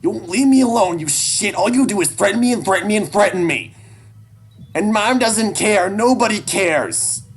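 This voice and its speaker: A man voicing an angry, hurt and defiant adolescent animal boy in an audio story, shouting and pleading. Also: mid-range voice, sing-song, moderate pace, slightly rough, pitched above the performer's own voice. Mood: hurt, furious. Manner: shouting, pleading, foul-mouthed.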